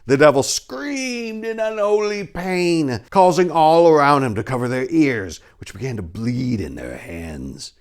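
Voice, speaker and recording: A man's voice: a little high, animated, unhurried, hardly any pauses. A man with a theatrical, hammy delivery, speaking to listeners as a storyteller. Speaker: narrator and story editor. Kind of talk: dramatic story narration and informal talk to listeners.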